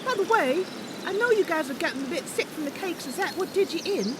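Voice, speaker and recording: A woman's voice: extremely high pitch, expressive, fast talker, noisy background. A woman voicing a chatty, playful young female wood elf adventurer in a fantasy game roleplay. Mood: bright, chatty. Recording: loud music behind the voice.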